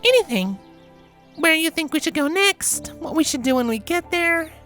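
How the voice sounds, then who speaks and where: A man voicing a young female alicorn pony in a story reading, gentle, hesitant and a little embarrassed. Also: extremely high pitch, animated, normal speed, soft background music, voice pushed up, full character voice. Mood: gentle, coaxing.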